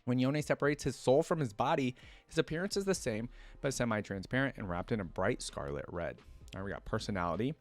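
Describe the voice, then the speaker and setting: A man's voice: medium pitch, lively intonation, brisk, soft background music. A man giving casual reaction commentary as a channel host, chatting and reading aloud.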